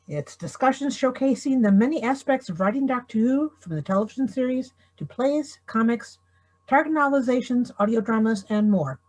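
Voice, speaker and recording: A woman's voice: on the high side, expressive, a little fast, a little mellow, poor audio. A woman moderating an online panel discussion, introducing and prompting the panelists.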